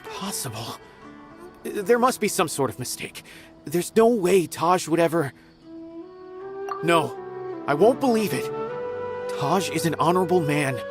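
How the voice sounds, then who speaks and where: A man's voice: on the high side, very animated, a little slow, long pauses. A man playing an earnest, upright young investigator in a video game, speaking formally. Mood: upset, insistent. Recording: game music behind voice.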